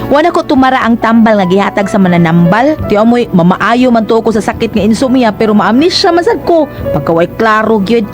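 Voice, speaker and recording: A woman's voice: fairly high pitch, lively intonation, a little fast, lightly acted. A woman playing an exasperated, nagging wife in a Cebuano radio drama, scolding and complaining. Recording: music behind the voice.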